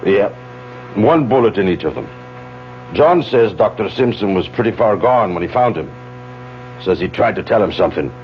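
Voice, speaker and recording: A muffled old radio recording with faint hum carries a plainspoken policeman, played by a male actor. He reports in a low, widely swinging voice, matter-of-fact but puzzled. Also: animated, normal speed, pauses often, lightly acted. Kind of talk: 1970s radio drama acting.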